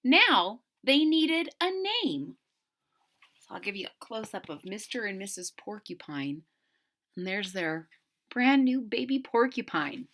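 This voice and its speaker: A woman reading a children's story aloud with exaggerated, drawn-out emphasis and chatty asides to her listeners. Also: mid-range voice, big pitch swings, a bit bright. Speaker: storybook read-aloud host.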